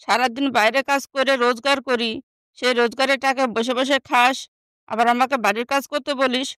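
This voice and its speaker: A woman acts out a scheming, bossy young sister in an animated moral story. The voice is high and clear, with a dry recording. She scolds and belittles in a spiteful, scornful way. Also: brisk, dry recording. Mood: scolding, threatening.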